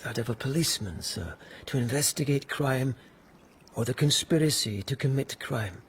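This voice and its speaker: A man's voice: mid-range voice, moderate pace, a little hiss or hum, natural voice. An actor playing a dogged, intense palace policeman in a radio drama, speaking in an earnest, formal and carefully courteous way. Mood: respectful, resolute.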